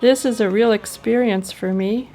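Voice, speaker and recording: A woman's voice: fairly high pitch, fairly even intonation, normal speed, dark tone, faint music behind. A woman narrating her personal travel vlog in an enthusiastic, appreciative way. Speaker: travel vlogger.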